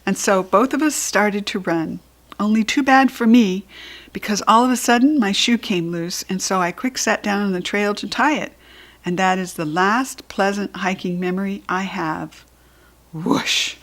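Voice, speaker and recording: American woman reading a children's story expressively. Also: medium pitch. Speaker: storybook reader.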